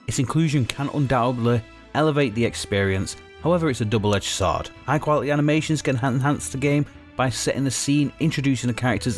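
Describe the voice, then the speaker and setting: A man reading scripted narration in a grandiose tone, breaking it with crude jokes. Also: mid-range voice.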